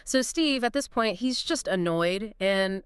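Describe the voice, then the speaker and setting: A woman co-hosting a discussion show, her voice smooth and resonant with a lively, rising and falling delivery. She is recorded close and dry, with no room around her. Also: mid-range voice, measured pace, no echo. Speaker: podcast co-host. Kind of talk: scripted two-host discussion.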